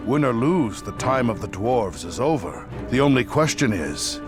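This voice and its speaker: A man's voice: mid-range voice, big pitch swings, normal speed, lightly acted. A man voicing a grave, fatalistic older dwarf warrior in a video game, speaking with solemn weight. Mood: grave, resigned. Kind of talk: video game cutscene acting. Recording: voice over loud cinematic music.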